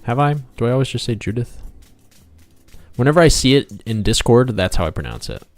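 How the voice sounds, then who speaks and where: A man's voice: medium pitch, sing-song, brisk, dry recording, faint music behind. A man hosting a casual game developer livestream, rambling playfully, talking to himself and to viewers as he works. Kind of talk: casual livestream chat while drawing, reading out viewer comments.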